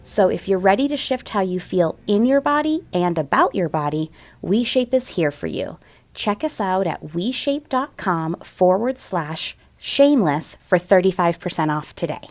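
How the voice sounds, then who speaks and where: A woman company founder giving an earnest, measured scripted sponsor message. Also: fairly low pitch, animated. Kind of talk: scripted ad read.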